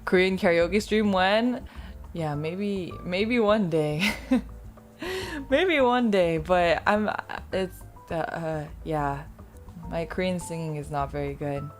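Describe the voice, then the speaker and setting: A woman with a slightly low voice chats with her stream viewers in a loose, rambling way. She pokes fun at herself and laughs easily, and soft music plays quietly under her talk. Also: takes it slowly. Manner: rambling, self-deprecating, laughs often. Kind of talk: casual chat with viewers.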